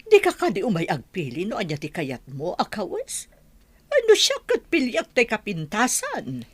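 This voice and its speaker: A woman's voice: mid-range voice, huge pitch swings, normal speed, breathy edge, no room sound. A woman playing a bossy middle-aged mother in a radio drama, speaking in an insistent, admonishing way. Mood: firm, scolding. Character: bossy middle-aged mother pressing her reluctant daughter. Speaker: actor in audio drama. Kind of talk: Ilocano radio comedy-drama acting.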